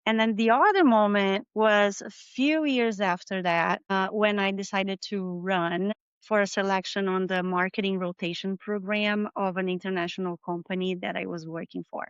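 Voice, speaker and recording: Brazilian-accented woman, smooth and clear. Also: mid-range voice, fairly even intonation, moderate pace, no echo, lo-fi sound. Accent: Brazilian Portuguese. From southern Brazil. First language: Portuguese. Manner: friendly, open and reflective, small non-native slips. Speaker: interview guest, marketing manager. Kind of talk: video interview conversation.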